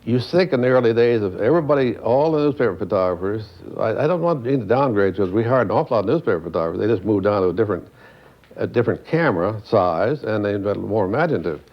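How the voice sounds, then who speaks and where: A man's voice: fairly low pitch, lively intonation, fast talker, highs cut off. An older man casually explaining in a documentary interview, stretching out phrases for emphasis.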